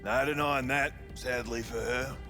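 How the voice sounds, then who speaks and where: A man's voice: mid-range voice, steady tone, a little slow, vocal fry, sharp tone, soft background music. An actor playing a sleazy, cynical male brothel manager in a cyberpunk video game, talking in crude, dismissive slang. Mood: matter-of-fact, cynical. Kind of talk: video game dialogue acting.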